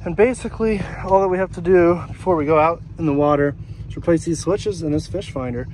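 An American man in his thirties gives a casual, folksy vlog tour. His voice sits a little higher than average. It is recorded outdoors on a handheld camera with light background noise. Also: a little fast, a little mellow. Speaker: vlog host. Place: outdoors in a residential yard. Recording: noisy outdoor action-camera audio.

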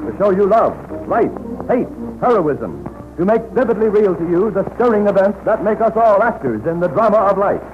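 A man's voice: high voice, animated, moderate pace, loud music behind the voice. A man announcing and narrating dramatized news in a declamatory 1930s radio style. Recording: muffled 1930s radio broadcast recording.

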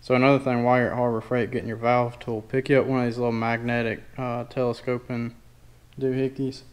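Male DIY mechanic for a garage how-to: plainspoken and folksy, very little pitch movement, casual step-by-step delivery. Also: little pitch movement, a little fast. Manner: plainspoken, informal, folksy wording. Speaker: DIY mechanic demonstrating a tool. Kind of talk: casual hands-on tutorial narration. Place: indoor garage workshop.

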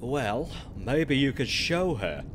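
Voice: mid-range voice, very animated, takes it slowly, noisy background. A performer playing a loyal pirate crewmate in an ASMR roleplay, talking in a folksy, hesitant, coaxing way. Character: placating, loyal pirate crewmate reasoning with the captain.

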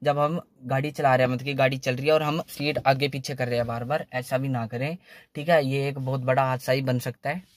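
A man's voice: medium pitch, fairly even intonation, a little fast, no echo, studio quality. A young man explains driving tips in a loose, repetitive way, saying "theek hai" again and again, recorded on a phone inside a parked car. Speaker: car channel host. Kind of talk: casual how-to talk in a parked car.

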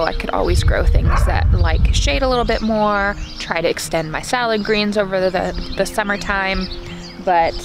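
Woman vlogging outdoors over music. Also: mid-range voice. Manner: chatty, explains step by step with many fillers. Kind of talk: casual explanatory vlog. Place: outdoors in a suburban front yard. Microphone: camera held close to face. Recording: background music under voice.

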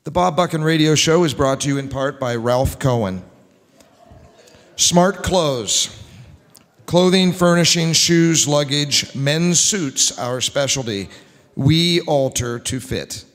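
A middle-aged American man announces like an old-time radio host, formal and showman-like. His voice sits fairly high and rings out from a stage microphone with long hall reverb. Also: expressive, slow pace. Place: large church hall, on stage before a live audience. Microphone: microphone on a stand.

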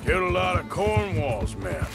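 An actor playing a gruff, cynical Wild West gunslinger in a video game, with an American Southern drawl. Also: male voice, sits high, big pitch swings, normal speed, over loud music. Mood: wary, matter-of-fact. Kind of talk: naturalistic video game acting.

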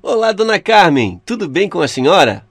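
A man's voice: high voice, very animated, moderate pace, vocal fry. A Brazilian man hosting an interview, reading out an upbeat introduction and questions. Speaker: interview host.

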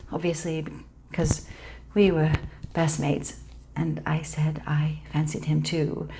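A woman performing a dramatic stage monologue as a guilty young woman, blurting out a confession in an urgent, breathless run-on. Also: deep voice. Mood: guilty, hushed. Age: young adult.

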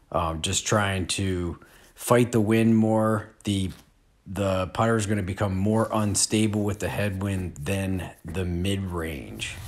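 A man in his forties giving a relaxed, unhurried disc golf lesson with casual fillers. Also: fairly low pitch. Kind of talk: casual instructional voice-over. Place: outdoor field.

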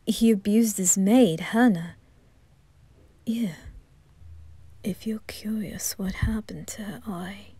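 A worried young noble boy, protective of his sister, played by a woman in a fan audio drama. He speaks in a slow, hushed whisper that stumbles over words, the tone mellow and dark. Dry and close to the ear. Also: mid-range voice, breathy edge, dry recording. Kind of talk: fan ASMR roleplay.

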